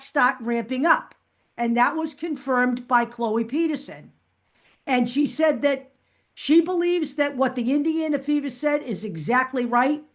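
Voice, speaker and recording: A woman with a fairly high voice hosts a fan vlog. She talks casually and directly to viewers. She makes her points emphatically and often punctuates them with a questioning "okay?".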